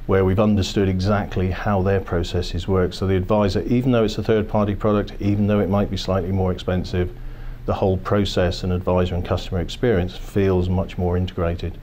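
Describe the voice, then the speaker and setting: A man in his fifties, a financial services executive, giving measured, corporate explanations in a seated office interview, often restarting his phrases. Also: sits low, little pitch movement, dark tone, slight background noise. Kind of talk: business interview. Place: office, indoors.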